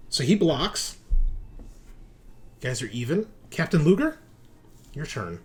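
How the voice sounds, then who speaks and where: A man's voice: a little high, big pitch swings, unhurried, noisy background. A man talking casually as game master, explaining rules and narrating the action of a tabletop game.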